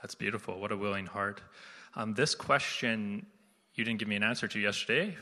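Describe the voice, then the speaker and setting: A man hosts a relaxed church interview, sounding casual, upbeat and a bit joking. His tone is very bright and sharp, and he speaks into a handheld mic. The sanctuary's long reverb trails behind his voice. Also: fairly low pitch, brisk.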